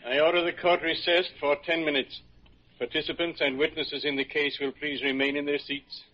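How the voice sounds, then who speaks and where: An actor in an old radio drama playing a fair-minded judge, formal, courteous and measured. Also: male voice, on the high side, flat delivery, close to an everyday voice. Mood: formal, authoritative. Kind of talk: 1940s radio acting. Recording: dull, noisy old radio recording.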